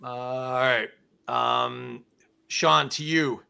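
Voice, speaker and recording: A man's voice: medium pitch, steady tone, very slow, vocal fry, bright, forceful tone, clean tone. A man hosting a casual online game show, joking, laughing often and reading out chat comments.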